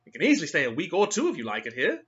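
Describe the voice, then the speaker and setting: A man's voice: fairly high pitch, huge pitch swings, racing, fairly bright tone, muffled recording, pitched above the performer's own voice. A man as an eager young husband. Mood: adoring. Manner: eager, adoring.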